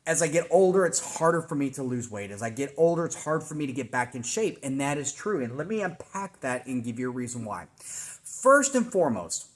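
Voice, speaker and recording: A man's voice: mid-range voice, big pitch swings, fairly quick. Chatty man in his forties. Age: 40s. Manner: conversational, explanatory, many fillers and restarts. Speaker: fitness trainer. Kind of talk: casual weekly check-in vlog. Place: home workout room.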